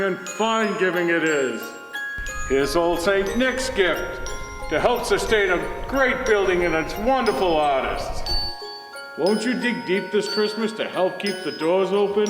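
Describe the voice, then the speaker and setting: A man playing a jolly, hearty old Santa Claus on stage. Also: sits high. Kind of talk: stage holiday character acting. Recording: very echoey hall. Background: music and jingling bells.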